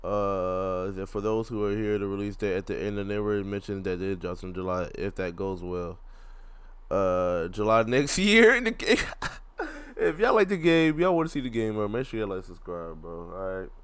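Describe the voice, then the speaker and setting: Slangy man reacting, lowish voice. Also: expressive, moderate pace, a bit bright, clear, resonant tone. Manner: slangy, rambling, repeats himself. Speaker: gaming YouTuber reacting to a video. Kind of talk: casual live reaction commentary.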